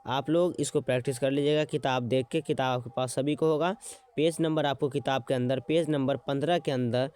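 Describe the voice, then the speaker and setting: A man teaching an exam-prep lesson, repeating words slowly as he writes them out and checking in with his students as he goes. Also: mid-range voice, steady tone, a little mellow. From Bihar.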